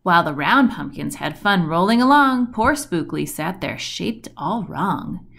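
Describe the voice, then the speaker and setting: Female storybook reader, unhurried pace, lively swooping intonation, dramatic emphasis, children's rhyming read-aloud. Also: lowish voice, vocal fry. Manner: deliberate, dramatic storytelling delivery with emphasis on rhymes and action words.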